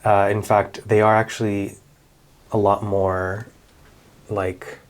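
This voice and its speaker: A man, chatting casually in a relaxed vlog about his doll collection, with many fillers and self-corrections. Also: lowish voice, takes it slowly, low-quality recording. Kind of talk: casual show-and-tell vlog.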